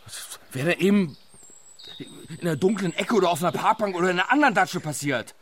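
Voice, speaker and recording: A man's voice: sits high, animated, a little fast. An actor playing a stressed, self-justifying man in his forties in a German radio drama, hesitant yet urgently coaxing the others. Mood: exasperated.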